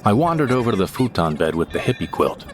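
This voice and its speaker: A man narrating a first-person audio drama in a wry, unhurried manner. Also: lowish voice, big pitch swings, over music.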